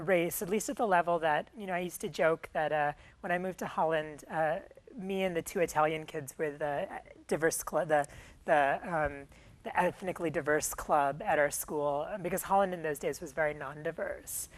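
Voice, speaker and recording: A woman's voice: fairly low pitch, moderate pace. A middle-aged woman from Michigan, Tamil her first language, talking in an interview, thinking aloud with frequent ums and restarts. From Michigan, USA. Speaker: guest. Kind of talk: conversational interview.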